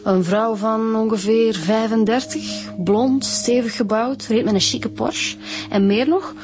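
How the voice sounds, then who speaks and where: An actress playing an observant, level-headed police detective in a radio drama, thoughtful, with a Flemish accent. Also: female voice, a little high, fairly even intonation, unhurried, natural voice. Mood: matter-of-fact. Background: music.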